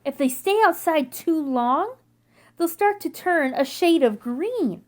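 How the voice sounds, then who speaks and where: Very animated, high-voiced woman storyteller. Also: slight background noise. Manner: animated, deliberate storytelling for young children. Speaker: children's story reader. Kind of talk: expressive children's picture book read-aloud.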